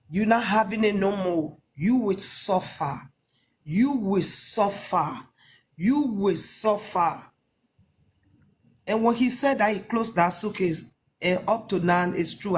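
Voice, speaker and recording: A woman from Liberia calling into a livestream, telling her story in a halting way and repeating phrases. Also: mid-range voice, a little hiss or hum. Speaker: caller on a livestream. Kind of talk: personal testimony, casual chat.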